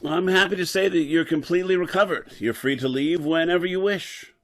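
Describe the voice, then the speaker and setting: A man playing a dedicated, weary scientist professor in old-time radio drama style, speaking in a measured, formal way. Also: fairly high pitch, lightly acted. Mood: calm, assured.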